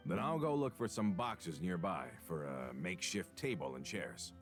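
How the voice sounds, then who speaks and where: A loyal henchman with a low voice and lively intonation. He is courteous, formal and earnest in video game style, with faint music underneath. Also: moderate pace. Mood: agreeable. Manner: formal, polite, sincere.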